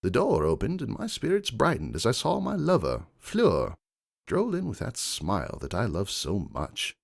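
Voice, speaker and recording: Very animated, gravelly male story narrator. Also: lowish voice, normal speed. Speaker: audiobook-style reader. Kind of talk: dramatic scripted first-person story narration.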